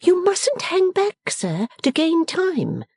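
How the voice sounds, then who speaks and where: A resolute, affectionate young heroine of a storybook, voiced by a woman narrator. Her pitch sits high and moves freely, brisk and coaxing, in a dry recording. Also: sing-song, darkish tone, breathy edge, no room sound, exaggerated voice. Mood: playful, urging.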